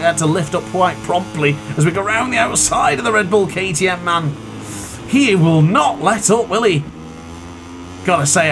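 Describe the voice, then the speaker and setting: A man commentating his own racing game run in a chatty, excitable way, with a sore throat. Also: fairly high pitch, low-quality recording. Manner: excitable, chatty, exclaims at crashes. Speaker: gaming commentator. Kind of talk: live gameplay commentary.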